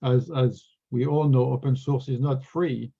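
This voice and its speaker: A man's voice: medium pitch, steady tone, measured pace, creaky, husky, dull audio. A man from France with a French accent, an executive answering interview questions earnestly and often repeating words as he thinks, heard over a video call. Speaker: regional sales executive.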